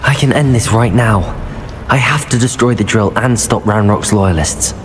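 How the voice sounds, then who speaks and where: An actor playing an earnest, cautious young wizard student in a video game, speaking in a measured way. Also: male voice, lowish voice, background noise, lightly acted. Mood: determined. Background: game music.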